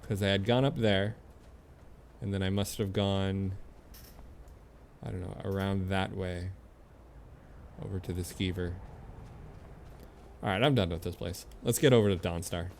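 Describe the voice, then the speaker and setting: Gravelly, low-pitched man streaming a game. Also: steady tone, a little slow, pauses often, slight background noise. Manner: playful, talks to game characters, swears casually. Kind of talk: casual live gameplay commentary.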